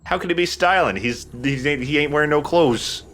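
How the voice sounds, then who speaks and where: A man's voice: on the high side, normal speed, fairly bright tone, slight background noise. A man hosting a let's play, joking and swearing in casual banter as he comments on his game. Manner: joking, profane.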